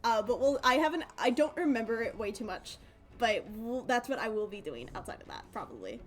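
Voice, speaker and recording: A woman's voice: on the high side, animated, fairly quick. A woman game streamer chatting casually to her live audience in a playful, rambling way, repeating phrases. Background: game music.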